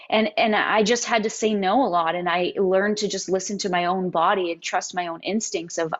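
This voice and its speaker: A woman in her thirties with a slightly raspy voice, telling her story as an interview guest, animated and with halting restarts. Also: lowish voice. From Canada and the United States. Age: 30s. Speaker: interview guest, singer-songwriter. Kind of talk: candid interview conversation. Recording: dull lo-fi audio.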